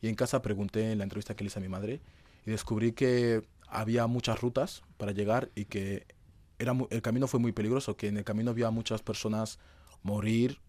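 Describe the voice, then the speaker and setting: A man's voice: on the low side, fairly even intonation, brisk, a little mellow, dry recording. Young man from being interviewed. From Madrid, Spain. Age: young adult. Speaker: interview guest, young dancer. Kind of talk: conversational interview answers.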